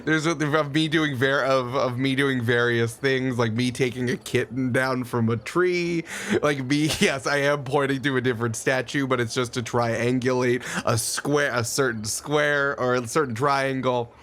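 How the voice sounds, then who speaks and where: A man's voice: mid-range voice, steady tone, normal speed, a bit bright. A man telling an improvised comic story as a guest on a tabletop game show, casual and amused.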